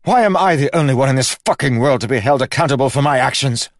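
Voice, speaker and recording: A man voicing a furious young man whose voice rises into angry shouting. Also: rumbling low, a little slow, vocal fry, no room sound, clean studio sound, full character voice. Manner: shouting, rising, accusing. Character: furious young man, sharp-tongued, losing his temper.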